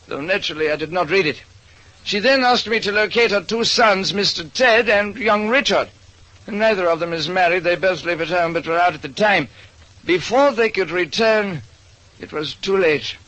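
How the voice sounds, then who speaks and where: A man's voice: high voice, sharp tone, voice pushed up, low-quality recording. A man voicing an elderly, loyal butler with formal, deferential manners in a 1940s radio drama. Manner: deferential, measured, proper.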